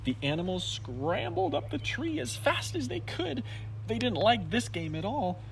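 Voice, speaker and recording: A man reads a children's story aloud in a fairly high voice. His telling is emphatic and highly animated, rising and falling widely. Clear ambient noise sits behind him. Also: a little slow, low-quality recording. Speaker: storybook reader. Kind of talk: expressive children's story narration.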